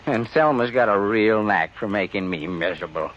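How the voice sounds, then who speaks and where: Actor as a stammering, henpecked American husband. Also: male voice, fairly low pitch, moderate pace, lo-fi sound, loud music behind the voice, close to an everyday voice. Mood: nervous pleading. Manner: stammering, earnest, hesitant. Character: nervous, henpecked small-town husband. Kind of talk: 1950s radio western acting. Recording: faintly noisy 1950s radio broadcast.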